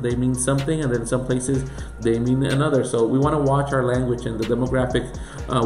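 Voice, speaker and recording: A pastor with a Puerto Rican accent teaches in an earnest, emphatic way, working through one question after another. His voice sits at an ordinary middle pitch for a man. It is recorded in an echoey room with soft music underneath. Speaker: pastor and church planter. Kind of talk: teaching talk.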